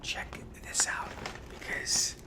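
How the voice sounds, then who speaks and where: A man playing a friendly, awkward, down-on-his-luck barber on the run, rambling haltingly in an ASMR roleplay with a breathy whisper. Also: lightly acted. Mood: pleasantly surprised. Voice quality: breathy whisper with some fry.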